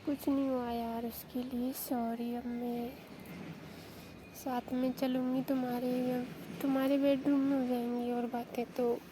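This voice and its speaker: A woman's voice: high voice, flat delivery, a little slow. Woman acting apologetic young new bride, noisy call. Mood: apologetic, explaining. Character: newly married young wife, apologetic, coaxing, affectionate. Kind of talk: staged phone-call prank skit, casual chat. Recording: noisy phone call recording.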